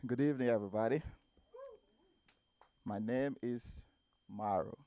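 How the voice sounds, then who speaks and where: A man with a Nigerian accent from Nigeria, doing a stand-up set and telling a story at a deliberate pace, with animated quoted voices. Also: medium pitch. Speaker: stand-up comedian. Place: comedy club stage.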